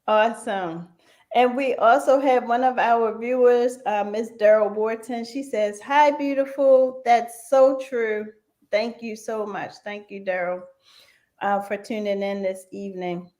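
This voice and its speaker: Slow-paced woman hosting a radio show. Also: fairly high pitch, steady tone. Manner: slow, warm-hearted, with frequent fillers. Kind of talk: live online radio interview.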